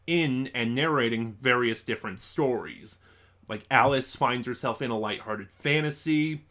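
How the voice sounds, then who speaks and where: A man's voice: mid-range voice, fairly bright tone. A man giving a casual, unscripted review as an online reviewer, rambling and thinking aloud in a stop-and-start way.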